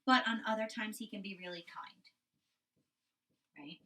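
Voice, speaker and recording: A woman's voice: medium pitch, unhurried, sharp tone, distant mic. A woman teaching young children through a read-aloud, explaining simply and expressively and checking in with questions.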